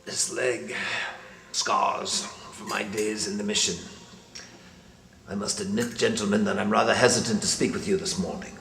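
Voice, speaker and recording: An older man playing a grave, guarded, pious cardinal in a period detective drama, speaking in a formal, measured way. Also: fairly low pitch, steady tone, gravelly, a bit bright. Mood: guarded, solemn. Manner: formal, measured, hesitant, sanctimonious. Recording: echoey room with faint noise.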